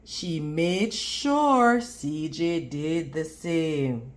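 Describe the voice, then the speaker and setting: A woman reading a children's storybook aloud like a teacher, deliberate and instructional toward young listeners. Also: low-pitched, expressive. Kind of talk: children's storybook read-aloud with prompting questions.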